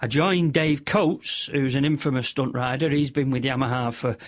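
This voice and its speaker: A middle-aged man with a squeaky voice, a radio interview guest who rambles through long stories with many restarts and self-deprecating asides. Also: steady tone, normal speed. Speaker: radio interview guest, motorcycle stunt show compere.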